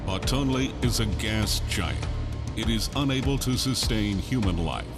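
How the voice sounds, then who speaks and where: A solemn male narrator delivers formal sci-fi briefings in a documentary style for a game. His voice is on the low side, set against loud music. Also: expressive, a little slow. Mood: solemn, informative.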